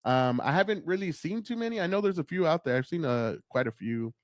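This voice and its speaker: Man, podcast guest, slightly high voice, dry close mic, swears freely, easygoing. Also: expressive, fairly quick, no room sound. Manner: loose, profane, says bro and dude a lot. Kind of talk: casual podcast chat.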